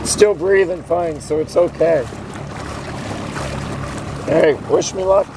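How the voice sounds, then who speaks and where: Hoarse man, rambling canoe vlog, camera mic outdoors, clear wind and water noise. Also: fairly high pitch, takes it slowly. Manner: rambling, talks to viewers while paddling. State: hoarse, voice failing from illness. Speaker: vlogger on a solo canoe trip. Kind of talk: casual talk to camera.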